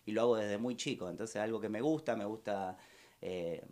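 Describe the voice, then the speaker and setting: A man's voice: on the low side, normal speed, darkish tone. A man in his thirties from Argentina with an Argentine Spanish accent, a bar owner answering interview questions in a radio studio, with many fillers and restarts. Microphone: studio microphone with headphones.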